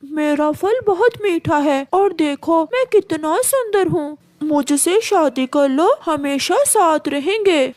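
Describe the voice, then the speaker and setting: A woman's voice: high-pitched, animated, takes it slowly, few pauses, a little mellow, no echo. A woman voicing a lovesick, vain male mango tree in a children's cartoon story. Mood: smitten. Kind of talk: cartoon storybook character acting.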